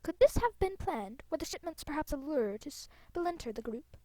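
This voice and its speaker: A woman playing a concerned leader of fantasy riders in a game, measured and formal with an ominous manner. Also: high voice, lively intonation, warm tone, dry recording, voice pushed up. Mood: grave, concerned.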